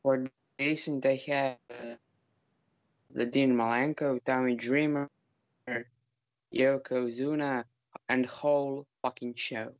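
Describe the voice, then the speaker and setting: A man co-hosting a casual podcast, talking in a halting, deadpan way. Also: mid-range voice, no room sound, highs cut off. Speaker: podcast co-host. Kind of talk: casual chat ranking wrestlers.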